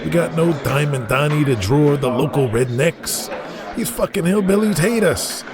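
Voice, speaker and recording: An actor playing a brash, cocky, foul-mouthed young pro wrestler in an audio drama. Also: male voice, a little high, expressive, moderate pace. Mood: indignant. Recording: music playing behind the voice.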